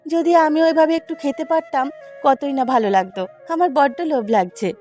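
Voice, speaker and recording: A woman's voice: sits high, animated, brisk, a little mellow. A woman voicing an eager, childlike young daughter-in-law from the city in a cartoon. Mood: eager, longing. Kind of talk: Bengali cartoon fairy-tale voice acting. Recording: music under the voice.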